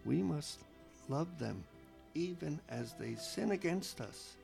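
Man, radio co-host, measured earnest reflection, slow, faint music underneath. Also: on the high side, expressive, vocal fry, a little mellow. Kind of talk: slow devotional reflection reading.

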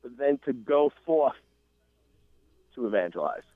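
A man's voice: mid-range voice, lively intonation, unhurried, no echo. A man calling into a radio show as an event organizer, speaking earnestly with many fillers. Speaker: call-in guest, event organizer. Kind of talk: casual radio chat by phone. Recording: dry tinny phone line.

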